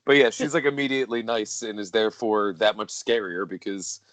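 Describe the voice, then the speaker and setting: A man's voice: lowish voice, fairly even intonation, a little fast, a bit bright, no echo. A man co-hosting a podcast, talking casually and effusively about film, often feeling for the right word. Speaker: podcast co-host.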